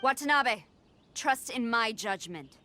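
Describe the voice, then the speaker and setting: Stern, commanding army general. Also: female voice, fairly high pitch, expressive, takes it slowly, bright, forceful tone, slight background noise, full character voice. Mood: firm. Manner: formal, authoritative. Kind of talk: animated video game voice acting.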